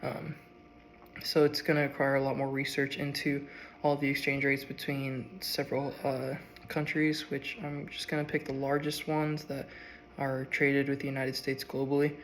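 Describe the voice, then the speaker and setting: A young man, sick and strained, giving a halting class presentation. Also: mid-range voice, slight background noise. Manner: halting, with frequent ums and uhs. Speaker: student.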